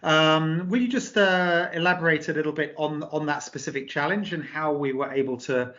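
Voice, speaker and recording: A man's voice: fairly high pitch, moderate pace, fairly bright tone, dull audio. A man hosting a business interview, asking questions in a polite, formal way. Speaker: interview host, company director.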